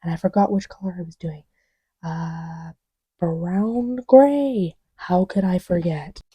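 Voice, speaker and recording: Playful girlfriend, played by a woman, ASMR roleplay: soft, mellow, dry close-up sound, giggly rambling that slips into murmurs. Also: lowish voice, takes it slowly, slightly rough, no echo. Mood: murmuring, absorbed. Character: giggly, playful girlfriend testing markers on boyfriend's lap.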